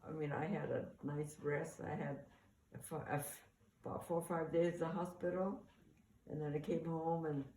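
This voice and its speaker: A woman's voice: low voice, steady tone, normal speed, dark tone. An older woman shares family memories in a casual, conversational way, often restarting as she recalls details. She is recorded at a distance in an echoey room, with faint noise behind her. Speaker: mother sharing family memories. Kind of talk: casual oral-history reminiscence.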